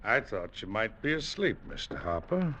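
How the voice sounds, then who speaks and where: An actor playing a deranged, guilt-ridden sea captain, agitated and pleading, in an old radio drama. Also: male voice, lowish voice, big pitch swings, moderate pace, a bit bright, a little hiss or hum. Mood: hushed, brooding. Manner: agitated, pleading, rambling and obsessive. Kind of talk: 1940s radio drama acting.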